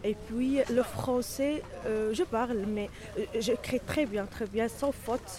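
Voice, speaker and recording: A middle-aged woman, a native Arabic speaker, answering interview questions haltingly in non-native French, searching for words. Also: fairly high pitch, crowd noise. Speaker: interviewee, home care worker.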